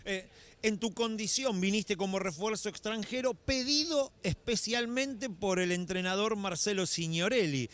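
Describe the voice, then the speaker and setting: A middle-aged man speaks into a handheld mic at the side of a basketball court. His voice sits high for a man, and his questions run long and formal. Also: moderate pace, fairly bright tone. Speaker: courtside interviewer. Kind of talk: post-game sports interview. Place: indoor basketball arena.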